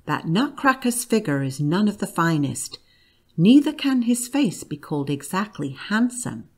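A woman's voice: mid-range voice, a little slow, husky. A woman voicing an odd, droll and cranky old godfather in a storybook reading. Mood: teasing. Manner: grating, monotonous chanting when reciting.

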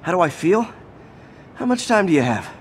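A man's voice: high-pitched, sing-song, moderate pace, a little hiss or hum. A man playing a young adult American superhero in a video game, wisecracking and earnest, often talking to himself. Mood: weary, sincere. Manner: quippy, self-talking. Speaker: game protagonist.

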